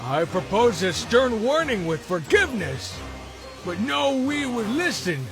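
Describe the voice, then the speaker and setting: A man voicing a small, goofy, scheming creature in an animated film, chatty and wheedling with an excitable manner. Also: high-pitched, measured pace, music in the background, heavily acted. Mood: flattering.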